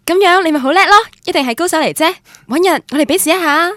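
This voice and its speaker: A woman's voice: extremely high pitch, fast, fairly bright tone, no echo, clean studio sound. An actress with a Cantonese accent playing a romantic, stubborn young woman who tells her love story in a radio drama. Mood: cocky. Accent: Cantonese, Guangdong. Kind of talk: radio drama narration and dialogue, introspective first-person.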